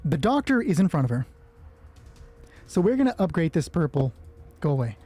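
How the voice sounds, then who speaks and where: A man with a husky voice narrates a solo tabletop game casually, thinking aloud and swearing freely as he talks himself through rulings. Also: a little high, very animated, moderate pace, dark tone, soft background music. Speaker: solo roleplay player and narrator.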